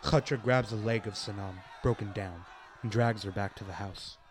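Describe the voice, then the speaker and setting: Low-voiced man narrating over crowd noise. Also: normal speed. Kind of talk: scripted radio play narration, present tense. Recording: noisy, crowd ambience behind.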